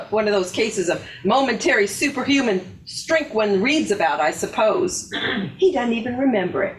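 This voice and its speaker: A woman's voice: fairly high pitch, lively intonation, normal speed, natural voice, poor audio. A woman playing a scornful, combative wife airing old grievances in a sardonic, emphatic dramatic monologue reading. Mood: mocking. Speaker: actor in a readers theater performance.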